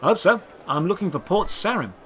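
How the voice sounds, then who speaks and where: A man's voice: sits high, lively intonation, brisk, a bit bright, slight background noise, pitched above the performer's own voice. A man playing a polite, curious adventurer in audio drama dialogue, earnest and conversational. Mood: friendly.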